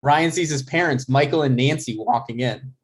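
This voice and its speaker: A man's voice: mid-range voice, normal speed. A man narrating stage directions from a script at a comedy table read.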